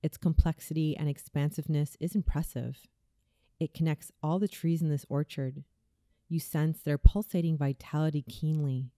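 Very dark, mellow low-voiced woman narrating meditation. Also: fairly even intonation, no echo. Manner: soothing, slow, deliberate delivery. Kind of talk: scripted guided visualization narration.

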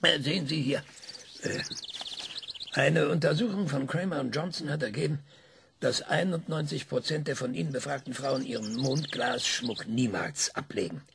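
A man's voice: fairly high pitch, moderate pace, vocal fry, noisy background. An actor in a radio play, playing an eccentric, cranky older man being interviewed, who hesitates often and lectures. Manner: hesitant, many ums, lecturing.

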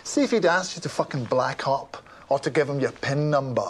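A man's voice: medium pitch, brisk, close to an everyday voice. An actor playing a ferociously angry government spin doctor, a profane and sarcastic Scottish man in a scripted screen satire. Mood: irritated. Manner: profane, menacing, sarcastic, bullying. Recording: noisy location film or TV sound.

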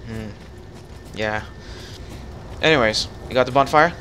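A man's voice: medium pitch, a little slow, pushed and bright, slight background noise. A man casually chatting while co-op gaming, thinking aloud and guiding his partner. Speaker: let's play host. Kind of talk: casual co-op gameplay chat.

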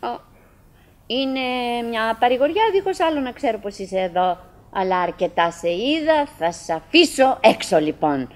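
An actress playing a chatty middle-aged wife in a theatrical radio drama monologue, halting and musing, by turns coaxing and murmuring, wistful, fretful and forcedly cheerful. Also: female voice, a little high, very animated. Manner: halting, musing, self-interrupting, swinging between coaxing and murmuring.

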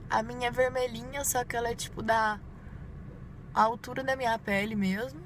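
A woman's voice: fairly high pitch, steady tone, moderate pace. A Brazilian woman in her twenties chatting informally to viewers inside a parked car, busy with her makeup as she talks. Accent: Brazilian Portuguese. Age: 20s. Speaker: beauty vlogger. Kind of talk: casual chat to viewers while doing makeup. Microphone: phone at arm's length. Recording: clear vehicle and ambient noise.

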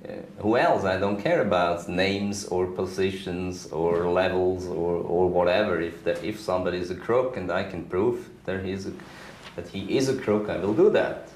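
A middle-aged man with a very deep voice talks firmly and bluntly. The room around him sounds echoey. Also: unhurried, darkish tone, slight background noise. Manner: firm, blunt, resolute. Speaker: interviewee. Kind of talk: documentary interview. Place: indoor room.

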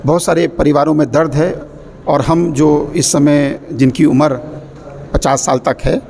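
Gravelly-voiced man speaking to press mics. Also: a little high, steady tone, dark tone, a little hiss or hum. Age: middle-aged. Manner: earnest, measured speech with many pauses and fillers. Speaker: university official addressing the press. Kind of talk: press statement to reporters. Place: indoor exhibition corridor with people around. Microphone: cluster of handheld press mics. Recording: crowd chatter behind.

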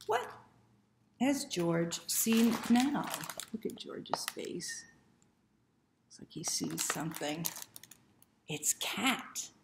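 A woman's voice: fairly low pitch, sing-song, drawn-out words, gravelly, long pauses. A middle-aged woman reading a picture book to children as a library storyteller, often asking questions and inviting them to join in. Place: small room indoors. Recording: roomy, faint background noise.

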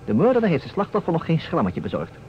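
A man's voice: on the high side, wildly swooping pitch, fast talker. An actor playing a playful, insistent man who stages a detective puzzle in a radio play, with a teasing, emphatic manner. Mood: playfully insistent. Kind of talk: Dutch radio play acting. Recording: dull, noisy old radio recording.

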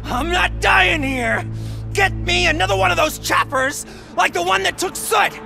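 An actor playing a frantic, brash male gang hostage-taker in a video game, shouting drawn-out threats. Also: extremely high pitch, gravelly, faint music behind, full character voice. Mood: desperate, threatening.

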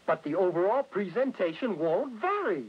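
A man's voice: sits high, sing-song, moderate pace, slightly rough, exaggerated voice. An American man playing an earnest member of a creative team in a staged 1960s industrial film. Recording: noisy, dull vintage film soundtrack.

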